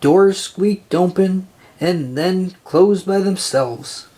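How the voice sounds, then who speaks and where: A storyteller narrates a children's book aloud. The delivery is slow and deliberate. The voice has a husky texture. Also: medium pitch, dark tone. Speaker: storybook reader.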